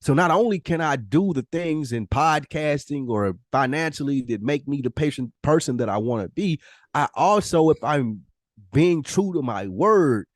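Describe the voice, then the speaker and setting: A man co-hosting a podcast, talking casually and reflectively in an earnest, introspective way with deliberate phrasing. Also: a little high, expressive, no echo, clean studio sound. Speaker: podcast co-host.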